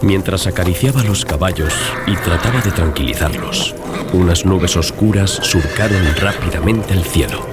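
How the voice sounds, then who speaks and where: A man with a very low voice narrates a gothic tale. His delivery is scripted, measured and literary, in the style of a dramatized audiobook. Music plays clearly behind him. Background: music bed.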